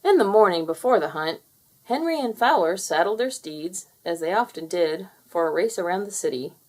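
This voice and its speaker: A woman reading a children's picture book aloud in an expressive storytelling manner. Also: on the low side, moderate pace, low-quality recording. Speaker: storybook reader. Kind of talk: children's read-aloud narration.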